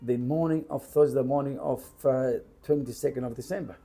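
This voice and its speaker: An older man from Calabria with a strong Italian accent, chatting while cooking, halting and repeating words, slipping into Italian. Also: mid-range voice, steady tone, mellow, soft background music. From Calabria, Italy. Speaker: home cook. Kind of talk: casual chat while cooking.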